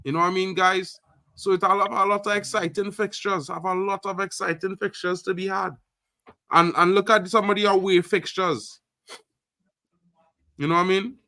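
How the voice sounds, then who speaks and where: A man from Trinidad hosts a casual live football stream, talking loudly and excitedly with repeated catchphrases. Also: high-pitched, moderate pace, dry recording. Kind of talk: casual live sports chat.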